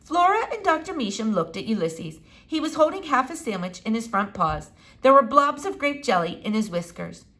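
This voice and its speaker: Animated woman reading a children's story. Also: a little high, moderate pace. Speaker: storybook reader. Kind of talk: expressive read-aloud narration.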